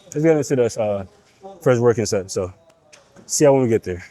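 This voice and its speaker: A man's voice: medium pitch, expressive, a little fast, dark tone. A young man with a cold and a nasal voice, talking bluntly to the camera between sets in a gym. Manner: blunt, profane, pep-talking. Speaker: fitness vlogger. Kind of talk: casual gym vlog talk.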